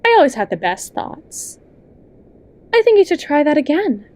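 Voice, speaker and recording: A woman playing a possessive, manipulative best friend and hypnotist in an ASMR roleplay, coaxing and condescending in a soft, intimate voice, speaking straight to the listener. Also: high voice, very animated. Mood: soothing, controlling. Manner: slow, coaxing, condescending, with lingering pauses.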